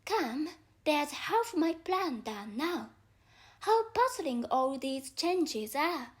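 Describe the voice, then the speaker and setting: Woman playing a little girl, storybook, high voice, slow, earnest, polite, puzzled, hesitant. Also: big pitch swings, heavily acted. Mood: musing, puzzled. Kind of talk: storybook character voice.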